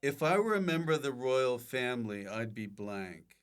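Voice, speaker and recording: A man's voice: medium pitch, normal speed, clean tone. A man hosting a casual comedy interview as a podcast host.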